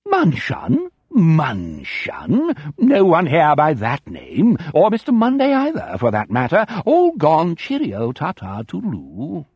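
A man's voice: a little high, huge pitch swings, takes it slowly, a little mellow, husky, dry recording, clean studio sound, pitched above the performer's own voice, exaggerated voice. Figure: Lord Malshun, a man doing a plummy villain. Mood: breezy taunting. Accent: put-on posh English. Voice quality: affected, plummy, theatrical. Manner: breezy mock-cheerful menace. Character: sinister ghoulish male lord posing as a foppish English aristocrat.

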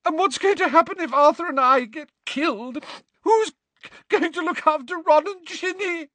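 A man's voice: moderate pace, sharp tone, no echo. A man voicing a distraught middle-aged mother who sobs and stammers through tears, in an audiobook reading. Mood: sobbing. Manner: stuttering, high and strained. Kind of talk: audiobook character voice.

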